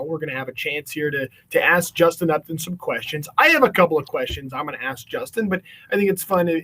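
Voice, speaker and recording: A man with a notably high-pitched voice hosts a casual question-and-answer session. He is encouraging and affirming, speaking informally as an interviewer. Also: fairly bright tone. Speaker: event host, interviewer. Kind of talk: casual upbeat hosting, reading audience questions.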